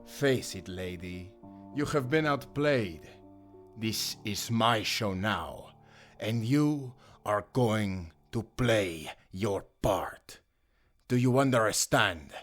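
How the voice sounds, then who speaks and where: Guy acting evil gloating prince, audio drama villain, really slow and menacing, music behind him. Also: on the low side, animated, a bit bright, heavily acted. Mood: gloating menace. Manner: slow, menacing, mocking, theatrical. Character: cruel, gloating exiled prince, scheming villain taunting a captive. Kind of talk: scripted audio roleplay drama.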